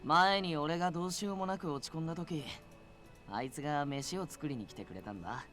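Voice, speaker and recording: A loyal, hot-blooded young man in a visual novel. He speaks very quickly in rough, casual phrasing, with big swings in pitch. Light music plays behind him. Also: lively intonation, a bit bright. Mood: heartfelt. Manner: rough, casual masculine speech. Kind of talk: Japanese visual novel voice acting.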